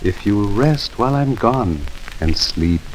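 A solicitous husband in an old radio drama. His voice sits fairly low, soft and measured as he soothes. The recording carries a faint noise. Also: lively intonation, low-quality recording. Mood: soothing, concerned. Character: gentle, devoted, solicitous gentleman husband. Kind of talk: 1940s radio drama acting.

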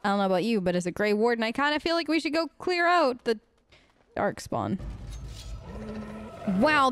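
A woman's voice: a little high, very noisy. A woman doing casual, improvised role-play commentary as a let's play host, conversational, hesitant and often questioning.

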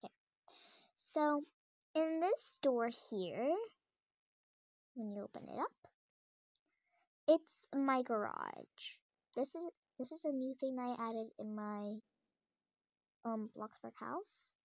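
Young girl, high voice, rambly house tour w lots of um and like, dry close sound. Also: lively intonation, takes it slowly, long pauses, no echo. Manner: chatty, rambling, many fillers and restarts. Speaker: young gamer showing her game house. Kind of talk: casual game house tour. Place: indoors, filming a tablet screen.

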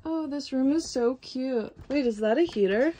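High-voiced, gushing woman visitor. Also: normal speed, warm tone. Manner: gushing, exclamatory. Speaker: visitor on the tour. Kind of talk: enthusiastic casual chat.

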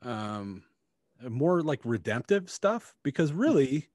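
A man's voice: medium pitch, big pitch swings, takes it slowly, a little mellow, no echo. A middle-aged man co-hosting a podcast in casual, blunt, sweary chat, speaking close to the microphone. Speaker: podcast co-host. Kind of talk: casual book review chat. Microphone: close podcast microphone with headphones.

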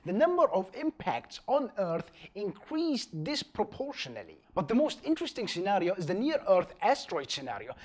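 A man in his thirties from Bahrain with an Arabic accent, a native Arabic speaker, explaining science to camera in an emphatic, animated way. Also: high voice. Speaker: science video host.

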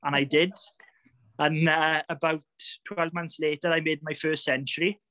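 A Welsh man in his thirties tells his story in easy, chatty talk, with frequent laughs and plenty of ums. His voice sits a little high for a man. The sound is close and dry, as over a video call. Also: little pitch movement, moderate pace, sharp tone, no room sound. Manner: chatty, laughs often, many fillers. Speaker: podcast guest, greenkeeper. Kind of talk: casual storytelling chat.

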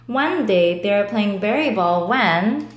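A woman reading a children's story aloud in a deliberate, expressive way. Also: mid-range voice. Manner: deliberate, animated. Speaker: storybook reader. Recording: roomy indoor echo.

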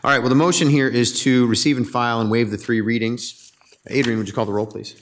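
A man chairing a city council meeting as mayor, polite and brisk with procedural lines, at a desk microphone in a council chamber. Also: medium pitch, fairly even intonation.

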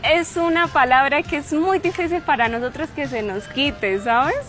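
A young woman from Santander, Colombia, with a Santander accent, telling stories in an outdoor street interview with a forceful, emphatic way of talking. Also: high-pitched, moderate pace, faint music behind. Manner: forceful, emphatic delivery with frequent "¿sí?" tags. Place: outdoor park with trees.

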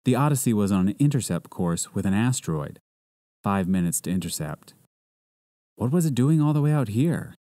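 A man's voice: on the low side, animated, normal speed, warm tone, no echo. A man delivering scripted narration as a voice-over artist, close on the mic.

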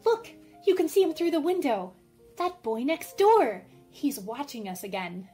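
A woman's voice: sits high, very animated, measured pace, warm tone, faint music behind. A woman acting a bold, theatrical tomboy teenage girl in an amateur radio drama, with eager, exclamatory delivery. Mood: bossy, theatrical.

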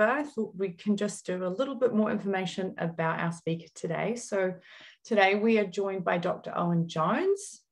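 A woman hosting a webinar on a video call, explaining the Q and A and introducing the speaker. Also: fairly low pitch. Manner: friendly, instructive, some fillers. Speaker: webinar host. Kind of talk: event housekeeping and speaker introduction. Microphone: computer mic on video call.

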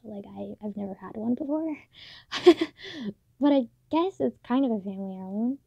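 Soft-spoken teen girl, hushed and gravelly. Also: a little high, expressive, dry recording. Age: late teens. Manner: soft, hushed, halting with many fillers. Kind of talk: late-night personal vlog. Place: dim bedroom at night. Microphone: phone or camera built-in mic, close. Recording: dull lo-fi built-in mic, dry.

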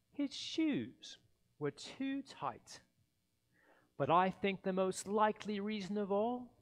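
A man in his forties reading a children's story theatrically, with dramatic pauses and expressive emphasis. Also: high voice. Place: church sanctuary, seated in an armchair. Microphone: headset.

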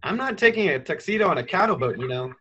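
Casting: young male actor as earnest, loyal youth, high bright voice, radio play, slightly dull recording. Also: sing-song, fast, breathy edge, heavily acted. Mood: casual, eager. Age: young adult. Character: eager, loyal young man dreaming of travel.

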